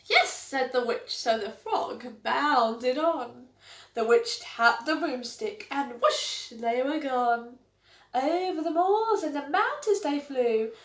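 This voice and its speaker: A woman reads a rhyming children's story aloud in a high, lively voice that rises and falls with every line. She takes her time over the verses, and the room adds a noticeable echo. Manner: animated, sing-song rhyming delivery. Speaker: storytime reader. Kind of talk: children's picture-book read-aloud. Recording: echoey room.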